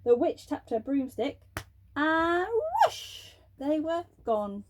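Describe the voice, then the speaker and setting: A woman's voice: high voice, expressive, takes it slowly. A woman storyteller reading a children's bedtime story aloud expressively, speaking directly to young listeners.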